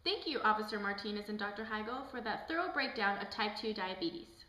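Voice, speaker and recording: A woman's voice: medium pitch, lively intonation, a little fast, sharp tone. A woman playing a cheerful, polite talk show host in an amateur scripted puppet skit. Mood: welcoming. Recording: echoey room, faint background noise.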